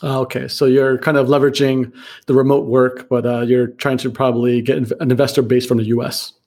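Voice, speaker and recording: A man hosting an interview podcast, reading a measured intro and then asking questions in a friendly, chatty way. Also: mid-range voice, little pitch movement, darkish tone. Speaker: podcast host. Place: Kuala Lumpur.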